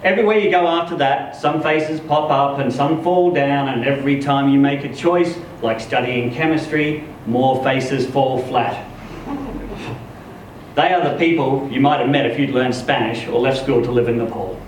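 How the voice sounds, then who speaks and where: A man giving an expressive live reading of a first-person literary story, acting out the dialogue as he goes. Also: medium pitch, moderate pace. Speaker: story reader. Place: live reading event in an echoey room. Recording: echoey room, distant mic, noisy.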